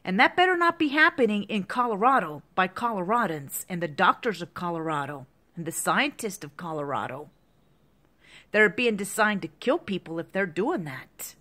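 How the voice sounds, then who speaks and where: A woman's voice: medium pitch, lively intonation, moderate pace, bright, forceful tone. A woman in her fifties in an agitated, repetitive rant to the camera, heavy with profanity. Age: 50s. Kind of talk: angry monologue to camera.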